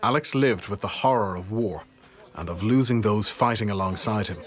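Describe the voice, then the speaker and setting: A man's voice: lowish voice, normal speed. A man reading a scripted news report as narration.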